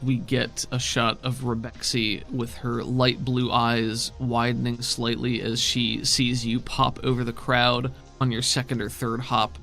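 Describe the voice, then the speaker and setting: A man's voice: medium pitch, flat delivery, measured pace, vocal fry, hardly any pauses, pushed and bright. A man narrating a tabletop roleplaying game as game master, in a descriptive, conversational way. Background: music.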